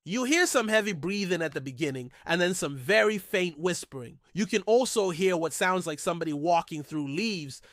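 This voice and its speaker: A man's voice: high voice, expressive, moderate pace, few pauses, a bit bright, no room sound, studio quality. A man hosting a mystery storytelling show in a conversational, informal and musing way, often posing rhetorical questions. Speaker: host of a mystery storytelling channel.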